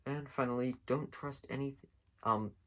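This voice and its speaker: A man giving casual, unscripted commentary as a video host, rambling along with halting pauses. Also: mid-range voice, steady tone. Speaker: host of an internet mystery series.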